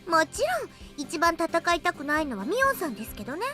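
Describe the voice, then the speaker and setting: A cute anime schoolgirl from a visual novel game, with an extremely high, animated voice. The delivery is quick, in stylized game-acting fashion, over soft music. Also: heavily acted. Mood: sly, polite.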